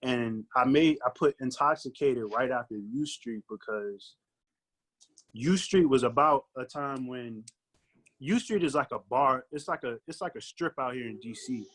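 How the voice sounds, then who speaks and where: A man's voice: medium pitch, unhurried, a bit bright, low-quality recording. Casual slangy man, guest recording artist. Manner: slangy, conversational. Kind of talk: casual interview chat.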